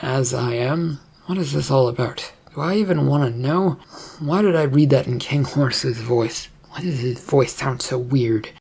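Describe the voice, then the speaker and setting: Narrator acting out husky sarcastic young man, muttering, hesitant, distrustful, fantasy storybook hero. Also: mid-range voice, a little slow, darkish tone. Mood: puzzled. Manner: muttering, stammering, grudging.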